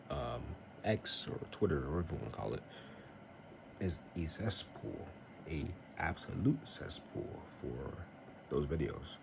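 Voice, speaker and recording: Young man, very low voice, earnest preachy vlogger, noisy home recording. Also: gravelly. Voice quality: very deep voice. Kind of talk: casual faith-based vlog talk.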